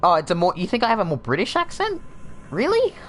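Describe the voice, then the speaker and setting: A man's voice: high-pitched, wildly swooping pitch, quick pace, a bit bright, a little hiss or hum. A young man with a laid-back Australian accent, chatting casually and sardonically while streaming a game, with lots of fillers. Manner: rambling, sardonic, frequent fillers. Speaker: gaming streamer.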